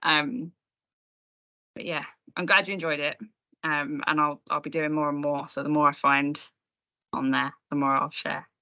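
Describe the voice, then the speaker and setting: A woman's voice: low-pitched, flat delivery, normal speed, gravelly, long pauses, sharp tone. A woman chatting informally and self-deprecatingly as a speaker at an online meetup, over a video call. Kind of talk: informal Q&A chat about design software. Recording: dull, compressed online call.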